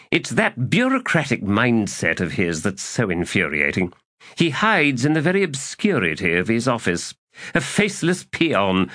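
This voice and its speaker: A man's voice: mid-range voice, fairly bright tone, no room sound, clean studio sound, pitched above the performer's own voice. A man voicing a whimsical, self-satisfied young king in an audiobook, with florid, mock-pompous phrasing. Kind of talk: audiobook character dialogue.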